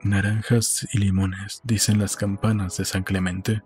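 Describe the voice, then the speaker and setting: A man playing an uneasy, wistful man in an audiobook, speaking in a slow, subdued way. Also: fairly low pitch, level tone, darkish tone, breathy edge, faint music behind. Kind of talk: audiobook dialogue acting.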